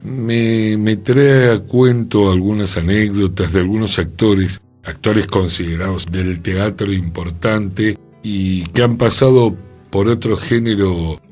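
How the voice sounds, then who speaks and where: A man with a Rioplatense accent talks slowly and deliberately, his voice low and husky. He stresses his points by repeating words. Faint music plays underneath. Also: fairly even intonation, darkish tone. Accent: Rioplatense Spanish. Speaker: radio show co-host. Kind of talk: cultural radio discussion.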